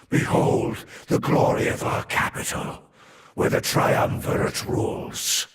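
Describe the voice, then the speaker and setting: Slow, whispery ancient overlord, echoing. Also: male voice, fairly bright tone. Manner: solemn, imperious, ominous, deliberate delivery. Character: ancient inhuman overlord, cold, haughty, menacing master of slaves. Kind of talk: scripted video game lore narration. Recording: echoing voice with faint background noise.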